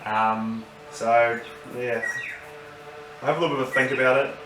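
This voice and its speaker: A man with an Australian accent giving a casual, unscripted review, halting as he thinks aloud. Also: medium pitch, fairly even intonation. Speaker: vlogger reviewing gear. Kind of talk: casual unboxing and review talk. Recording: roomy, distant mic, noisy background.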